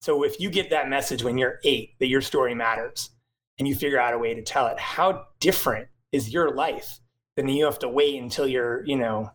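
A man's voice: medium pitch, a bit bright, poor audio. A man in casual conversation, earnest and thoughtful, often restarting phrases as he explains. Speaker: nonprofit co-founder, podcast guest. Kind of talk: casual chat.

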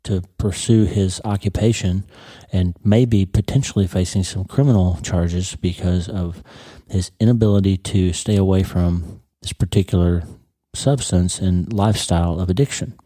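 A man hosting a podcast in a casual motivational talk, his voice congested and a little croaky, often repeating words as he goes. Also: low-pitched, steady tone, moderate pace, dark tone, no echo. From United States. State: congested, stuffy. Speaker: podcast host.

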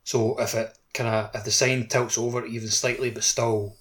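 A man's voice: mid-range voice, level tone, moderate pace, a bit bright. A man co-hosting a casual gaming chat, talking loosely and circling back with lots of filler likes. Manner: hesitant, conversational, peppered with "like". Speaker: podcast host. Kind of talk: casual video game discussion.

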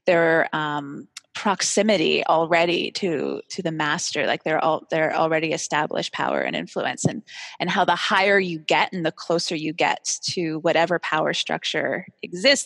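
A woman hosting a podcast, talking in a reflective, conversational way with measured, tentative phrasing, recorded in a reverberant room. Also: fairly low pitch, creaky, a bit bright. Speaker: podcast host.

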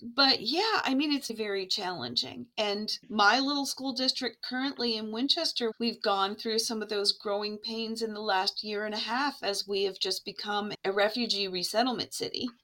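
A woman with a bright, forward voice shares classroom memories on a podcast. She talks in an earnest, reflective way, building each story step by step at a mid pitch. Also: moderate pace, hardly any pauses. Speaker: guest, English learner program administrator.

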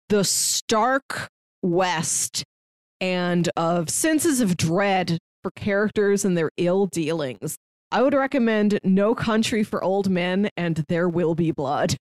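A woman's voice: medium pitch, no room sound, studio quality. A woman co-hosting a podcast, chatting casually about movies in articulate, wordy phrases. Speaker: podcast co-host. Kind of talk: casual movie review chat.

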